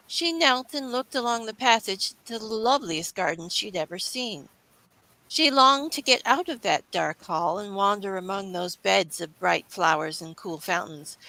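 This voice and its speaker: Bright-voiced woman narrating a storybook. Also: fairly high pitch, dry recording, poor audio. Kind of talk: scripted children's story narration.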